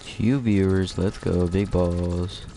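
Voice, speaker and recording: A man's voice: deep voice, steady tone, slow, gravelly, dark tone, a little hiss or hum. A man in casual chat with friends while gaming, joking crudely and swearing a lot. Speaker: gamer.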